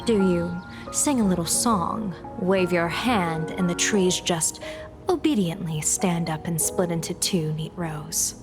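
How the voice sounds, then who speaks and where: Woman acting a wry, slow-spoken teasing lady general. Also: on the low side, lightly acted. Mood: dry, teasing. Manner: teasing, mock-polite, theatrical. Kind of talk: video game cutscene acting. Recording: music behind the voice. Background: background music.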